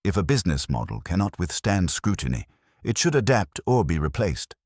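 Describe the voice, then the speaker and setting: Smooth, deep-voiced evasive consultant acted by a man. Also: very animated, a little fast, darkish tone, dry recording, studio quality. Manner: smooth, jargon-laden, careful diction. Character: smooth, guarded male corporate consultant, coolly evasive.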